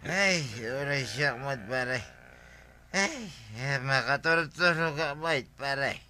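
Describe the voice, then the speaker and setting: A proud, teasing drinking buddy with an Ilocano accent in radio comedy. His voice is very bright and forceful, with a clean, resonant ring. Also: medium pitch, slow pace, clean tone, faint music behind. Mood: chummy, teasing. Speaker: actor in a radio comedy drama.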